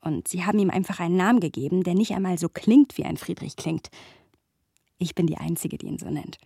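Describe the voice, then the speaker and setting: Wry young female narrator for radio drama. Husky, intimate, playful, dry studio sound. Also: fairly low pitch, fairly quick, mellow, no room sound. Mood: wistful. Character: wry, playful young woman narrating memories of her friend. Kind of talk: radio play narration and dialogue.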